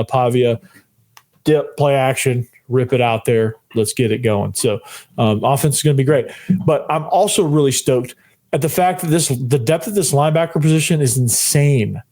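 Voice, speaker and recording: Podcast host: young hoarse-voiced man, hyped, rambling, mid-pitched. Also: normal speed, a little mellow. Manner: fired-up, rambling, repeats phrases, says "man" often. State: losing his voice, hoarse. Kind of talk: solo sports podcast talk.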